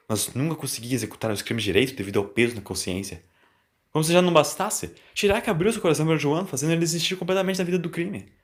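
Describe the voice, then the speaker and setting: A man with a Brazilian accent reading a scripted story as its narrator. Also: fairly high pitch.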